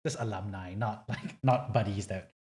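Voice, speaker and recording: A man hosting a podcast interview, chatty and animated, leaning on fillers as he sets up questions. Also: fairly low pitch, moderate pace, breathy edge. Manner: chatty, animated, many fillers like "you know". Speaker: podcast host.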